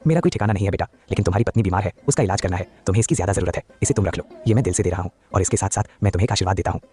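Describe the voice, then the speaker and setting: Benevolent roaming beggar elder for an animated fable, male actor: lowish voice, solemn, grateful, generous. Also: natural voice. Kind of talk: cartoon moral-story dialogue.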